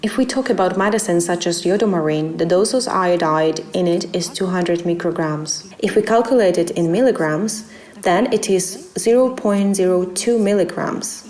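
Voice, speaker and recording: A woman's voice: fairly low pitch, normal speed, a little mellow. A woman reading a translated expert interview as a voice-over.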